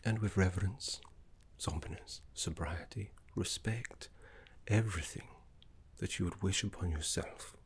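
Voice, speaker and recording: A man voicing a gentle, scholarly necromancy teacher in an ASMR roleplay, soft, breathy and half-whispered, with soothing, genteel phrasing. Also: sits low, a little slow, exaggerated voice. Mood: soothing, instructive. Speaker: teacher guiding a student.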